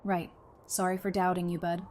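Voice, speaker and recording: A woman's voice: lowish voice, level tone, normal speed, a little mellow, lightly acted. A woman playing a stubborn, affectionate young mother and wife in an audio drama, casual and wry. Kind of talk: fan audio drama acting.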